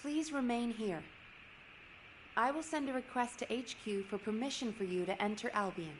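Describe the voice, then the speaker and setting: A woman playing a brisk, businesslike commander in a sci-fi video game, speaking in a direct, measured, formal way. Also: fairly high pitch, slight background noise, lightly acted, poor audio. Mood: decisive, official. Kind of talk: scripted video game dialogue.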